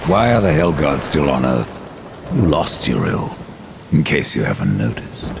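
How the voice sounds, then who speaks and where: A man voicing an ancient, grim supernatural horseman in a video game, gravelly and rumbling, with a dry, terse, sardonic menace. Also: big pitch swings, a little slow, full character voice. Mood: wry, sardonic. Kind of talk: dramatic video game acting. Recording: loud music and storm rumble behind.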